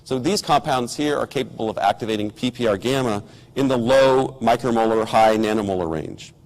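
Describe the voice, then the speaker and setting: A hoarse middle-aged man delivers a technical science lecture in a measured way, pausing on ums and restarting phrases. His voice sits at an ordinary pitch for a man. The sound carries the long reverb of a lecture hall. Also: darkish tone. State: hoarse from a cold.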